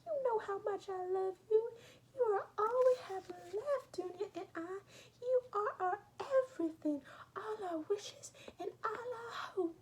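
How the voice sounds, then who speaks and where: A woman voicing an older mother writing tenderly to her son, high-pitched and tearful, reading her letter aloud. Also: measured pace, a little mellow.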